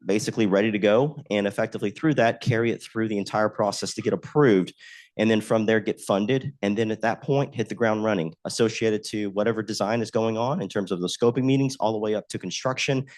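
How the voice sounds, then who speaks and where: A man giving a presentation at a podium, a bit hoarse from a cold, rambling with filler words like effectively. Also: fairly low pitch. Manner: rambling, leans on "effectively" and "specifically". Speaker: state aviation manager presenting. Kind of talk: conference presentation. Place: meeting room at a podium. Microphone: podium mic.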